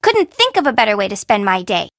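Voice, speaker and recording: A woman's voice: mid-range voice, sing-song, fast, a bit bright, no room sound, clean studio sound. A woman playing a perky, sassy young Japanese bento shop owner in a video game, with an informal, teasing, sarcastic manner. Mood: sarcastic, annoyed. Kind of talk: video game character voice acting.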